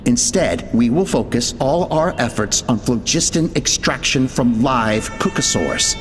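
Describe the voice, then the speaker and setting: A man voicing a zealous male researcher reading out grim log entries in a measured, solemn way. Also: medium pitch, expressive, lightly acted. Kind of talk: video game voice acting. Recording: long echoing reverb. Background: faint game music.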